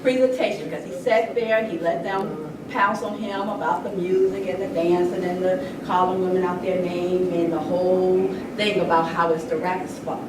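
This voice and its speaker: A woman's voice: on the low side, steady tone, normal speed. Older woman speaking earnestly in an echoey room. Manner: earnest, emphatic, conversational storytelling. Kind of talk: impassioned informal public talk. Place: indoor room at a public event. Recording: echoey room, distant mic, noisy background.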